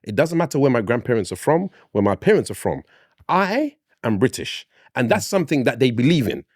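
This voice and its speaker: Podcast panelist, mid-pitched man, very lively intonation, dry close sound. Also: fairly quick, no room sound. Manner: expressive, acts out quoted voices with big pitch swings. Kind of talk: casual panel discussion.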